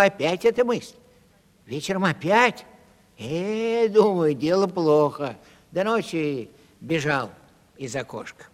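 An actor playing a hapless, excitable wandering comedian in a radio play, telling things in a plaintive, animated comic way. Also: male voice, fairly high pitch. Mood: plaintive, agitated. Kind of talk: 1970s theatrical radio play acting. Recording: reverberant radio drama with faint music.

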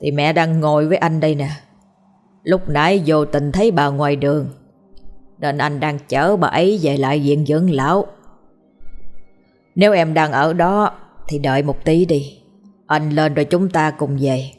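A woman's voice: deep voice, normal speed, pauses often, a little mellow, natural voice. A woman voicing a drunk middle-aged husband who grumbles obliviously in a slurred drawl. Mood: tipsy, grumbling.

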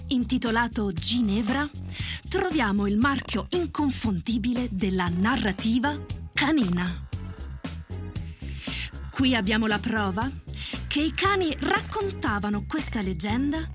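A woman reading scripted narration as the introducer of a tale, in a measured, scholarly manner. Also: on the high side, lively intonation, music in the background.

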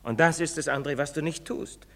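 An actor playing a priest who urges a young man fervently, then confesses in hushed whispers. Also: a little high, fairly quick, lightly acted. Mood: urging. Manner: preaching, insistent, dropping to guilty whispers. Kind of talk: radio play acting. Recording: long reverberant studio echo.

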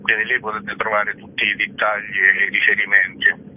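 A man's voice: fairly low pitch, completely flat delivery, normal speed. Male theatre director on a tinny phone line. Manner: friendly, with filler words and restarts. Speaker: guest author and director. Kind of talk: radio interview over the phone. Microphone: telephone.

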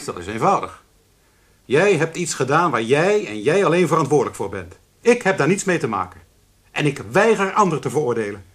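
An actor playing a bitter, slighted adult son in a radio play, with an accusing, sardonic manner. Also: male voice, a little high, very animated, brisk, fairly bright tone, slightly rough, full character voice.

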